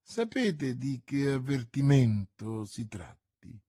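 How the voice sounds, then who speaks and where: A man's voice: medium pitch, sing-song, slow, warm tone, slightly rough, dry recording, studio quality, heavily acted. A man voicing a scheming noblewoman, cool, guarded and watchful, as a character in an audiobook. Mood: guarded, pensive. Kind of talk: audiobook character voice, classic novel.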